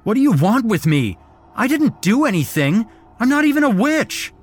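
A man voicing a terrified young male captive, shouting in frantic, high-pitched panic. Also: very animated, normal speed, slightly rough, soft background music, full character voice. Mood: panicked.

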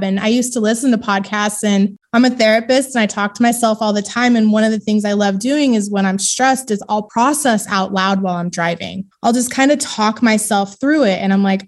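A young adult woman telling personal stories casually as an interview guest, informal and self-deprecating. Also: mid-range voice. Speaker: podcast guest, therapist.

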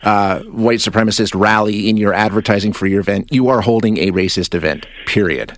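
A man's voice: on the low side, fairly quick, no room sound. A man hosting a radio call-in show, opinionated and combative, speaking emphatically and often cutting in or repeating himself. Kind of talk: opinionated talk radio with callers. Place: radio studio. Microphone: close studio broadcast microphone.

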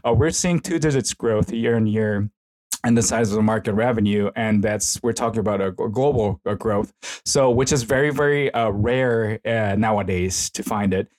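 A man's voice: lowish voice, moderate pace, darkish tone. A middle-aged man from South Korea with a Korean accent, chatting informally as an interview guest in a hesitant, rambling way. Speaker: esports consultant and professor, interview guest. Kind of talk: casual conversational interview.

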